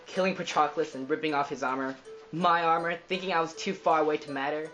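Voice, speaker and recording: A man's voice: on the high side, steady tone, quick pace, pushed and bright, faint music behind. A man playing a vengeful, merciless Greek warrior in a mythological puppet show. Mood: gloating, vengeful.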